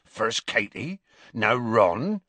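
A man voicing a huge, gruff, soft-hearted giant with a hoarse growl, worried, in an audiobook. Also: medium pitch, sing-song, very slow, no echo, heavily acted. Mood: anxious.

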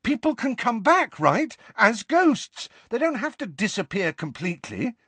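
A man's voice: on the high side, lively intonation, measured pace, fairly bright tone, dry recording, voice pushed up, exaggerated voice. A man voicing an urgent, stubborn teenage boy wizard in an audiobook, insistent and full of emphatic questions. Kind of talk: audiobook character voice.

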